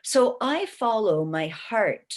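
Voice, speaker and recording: A woman's voice: fairly high pitch, very animated, takes it slowly. A woman in her sixties speaking on a webinar panel, emphatic and encouraging, often checking in with "okay?". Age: 60s. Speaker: panelist, small business owner. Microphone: video call.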